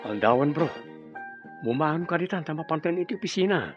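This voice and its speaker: Actor as a friendly, higher-voiced office buddy. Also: male voice, expressive, fairly quick, lo-fi sound, music in the background, lightly acted. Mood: friendly, concerned. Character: friendly, caring young male office buddy. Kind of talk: Ilocano radio drama acting.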